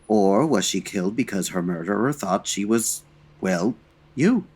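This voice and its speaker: An actor playing a suave, dry-witted 1940s gentleman detective, urbane and measured, in an old-time radio style. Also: on the low side, lively intonation, husky. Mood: wry, probing.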